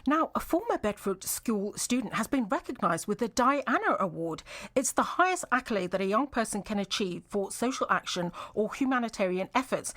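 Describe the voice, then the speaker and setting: A woman's voice: medium pitch, a bit bright. A woman presenting and interviewing a guest on live radio.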